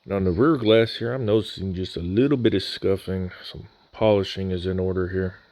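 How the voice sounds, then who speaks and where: Low-voiced male hobbyist, laid-back and wry, voice slightly worn, dry close-mic voice-over. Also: brisk, darkish tone, no echo. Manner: casual, dry humor. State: recovering from near voice loss. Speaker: scale model builder. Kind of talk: voice-over unboxing walkthrough.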